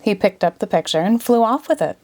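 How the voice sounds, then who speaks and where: A woman narrating a children's storybook aloud in an expressive read-aloud style. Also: mid-range voice, fairly quick. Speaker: storybook reader.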